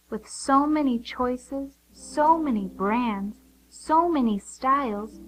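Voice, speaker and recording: A woman's voice: fairly high pitch, a bit bright, poor audio. A woman reading a scripted spoof commercial as its announcer. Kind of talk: scripted advertisement read. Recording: faint music behind the voice. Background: faint music.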